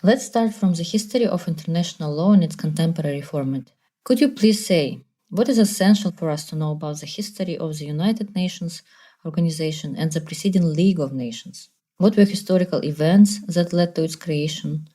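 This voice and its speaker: A woman hosting a podcast, introducing guests and asking measured, formal questions. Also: low-pitched, mellow. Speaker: podcast host. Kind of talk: podcast interviewing.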